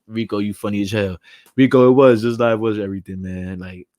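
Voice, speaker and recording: A raspy, low-voiced man hosts a live stream in a dry, close-miked sound. He talks loosely in street slang and thanks his viewers one by one. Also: fairly even intonation, a little fast, a little mellow, no echo. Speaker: live show host. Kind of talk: casual livestream chat.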